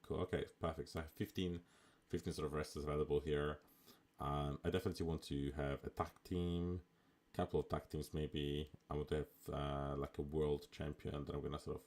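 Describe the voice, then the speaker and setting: A man with a raspy voice, chatting casually as a live streamer while he plays a management game. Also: rumbling low. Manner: relaxed, chatty, with fillers. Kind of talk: casual live gameplay commentary.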